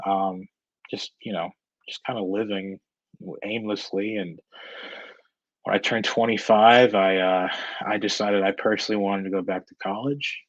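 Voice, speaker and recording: A man in his thirties with an American accent and a lowish voice talks casually as an interview guest. He is hesitant and candid, thinking as he goes. The sound is close and dry but a little dull and lo-fi. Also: steady tone, measured pace, no room sound. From Georgia, United States. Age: 30s. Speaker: guest, freelance screenwriter and director.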